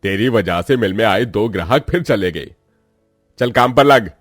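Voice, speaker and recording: A man voicing a harsh, stingy mill owner with a gruff, bullying manner. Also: lowish voice, lively intonation, unhurried, dry recording, clean studio sound, soft background music, voice pushed down. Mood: scolding. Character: harsh, stingy, scolding male mill boss.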